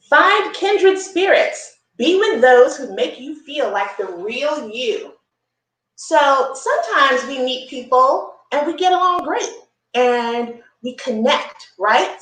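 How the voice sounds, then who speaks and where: A woman hosting a children's story time, reading a picture book aloud with expressive, encouraging asides and simple explanations. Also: sits high, echoey room, low-quality recording.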